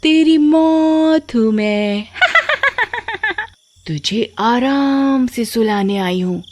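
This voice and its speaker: A woman's voice: high-pitched, big pitch swings, drawn-out words, music in the background, pitched above the performer's own voice, exaggerated voice. A woman voicing a murderous, taunting witch ghost in a horror story cartoon, drawing out her words with cackling menace. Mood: menacing, gloating. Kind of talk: animated horror story voice acting.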